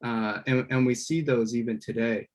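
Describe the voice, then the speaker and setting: A man moderating an online panel over a video call, speaking haltingly with many fillers and restarts. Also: mid-range voice, fairly even intonation.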